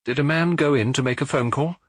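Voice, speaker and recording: Man reading as brooding husky police detective. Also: medium pitch, brisk, dry recording, studio quality, pitched above the performer's own voice, lightly acted. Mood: calm questioning. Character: seasoned male police detective, brooding and terse.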